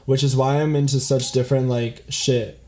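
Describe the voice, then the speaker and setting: A young man from South Florida chats in a relaxed, filler-heavy way, swearing casually. His voice is dark and mellow and sits at a middle pitch. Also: normal speed. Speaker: podcast co-host. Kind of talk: casual chat.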